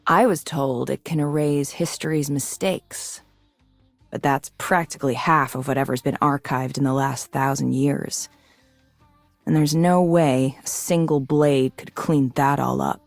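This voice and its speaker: A woman voicing a mature, resolute and idealistic swordswoman in a video game, composed and earnest in her measured delivery. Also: rumbling low, fairly even intonation, vocal fry, dry recording, soft background music, heavily acted. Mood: solemn, reflective. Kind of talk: scripted video game character lines.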